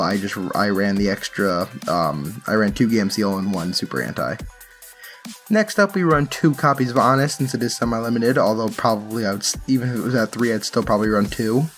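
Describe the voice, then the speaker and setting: A man's voice: on the low side, a little fast, soft background music. A man giving casual, unscripted commentary on card game play and decks, explaining things and correcting himself as he goes. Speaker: card game channel host.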